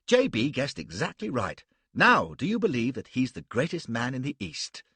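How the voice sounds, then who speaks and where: A man's voice: deep voice, sing-song, a little slow, fairly bright tone, husky, no room sound, exaggerated voice. A man doing a boisterous British officer. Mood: exuberant. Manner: exuberant, boisterous. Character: excitable hearty British officer.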